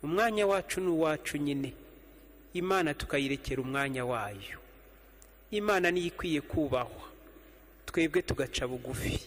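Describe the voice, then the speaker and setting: Rwandan priest preaching in a reverberant church. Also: male voice, fairly high pitch, expressive, a bit bright, a little hiss or hum. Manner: measured preaching with slow repeated refrains. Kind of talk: homily at evening Mass. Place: church sanctuary, standing behind an altar. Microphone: stand mic on the altar, fixed camera.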